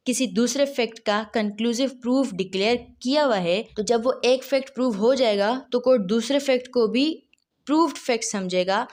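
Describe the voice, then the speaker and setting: A woman's voice: a little high, normal speed, darkish tone. A woman with a Pakistani accent giving an explanatory law lecture, didactic, posing questions and answering them, repeating key phrases. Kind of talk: explanatory lecture mixing read-out provisions with explanation.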